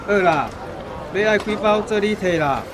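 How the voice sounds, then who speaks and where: A man's voice: high-pitched, normal speed, noisy background, lightly acted. An actor playing a chummy, ingratiating male grocer in a radio drama. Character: fawning male grocer, chummy with big customers, snobbish. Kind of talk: Taiwanese-language radio drama acting.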